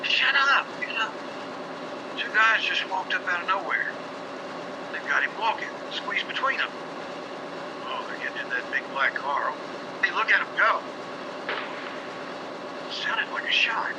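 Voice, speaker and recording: A man playing a wisecracking, excitable street reporter calling in an eyewitness account in a 1950s-style radio drama. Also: high voice, a little slow, long pauses, noisy background. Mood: startled, urgent. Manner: exclamatory, running eyewitness report.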